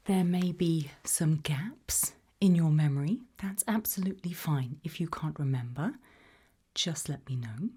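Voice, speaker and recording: Hushed, slow British woman whispering ASMR. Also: on the low side, breathy edge. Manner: gentle, reassuring, hushed, mostly whispered. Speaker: ASMR roleplay host playing an abduction investigator. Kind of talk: ASMR roleplay, whispered interview.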